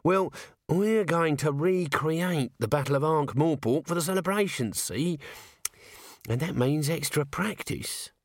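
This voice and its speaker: Man voicing a nervous low-ranking corporal, high, hesitant, lots of "sir". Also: measured pace, dry recording, studio quality. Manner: stammering, hesitant, full of "sir"s. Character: nervous little male corporal, shifty and evasive. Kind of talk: audiobook character voice.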